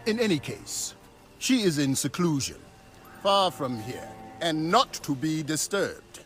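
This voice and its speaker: A man's voice: a little high, very animated, unhurried, fairly bright tone, faint music behind. An actor playing a proud, suspicious male clan enforcer who talks down to strangers with scorn. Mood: hostile. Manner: scornful, commanding.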